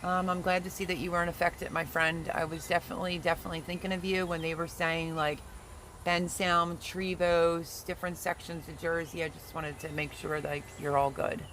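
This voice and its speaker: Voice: mid-range voice, little pitch movement, brisk, a bit bright, a little hiss or hum. A gardening livestream host chatting casually and teasing viewers while reading their comments aloud.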